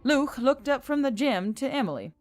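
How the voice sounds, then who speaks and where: A woman's voice: high-pitched, lively intonation, moderate pace. A woman narrating a scripted fantasy story as an audiobook reader. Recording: music under the voice.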